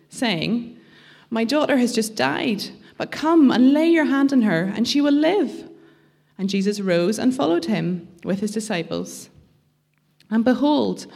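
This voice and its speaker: A woman reads scripture aloud at a steady, measured pace. Her voice has a gravelly, creaky edge, and her pitch sits in an ordinary middle range. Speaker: scripture reader.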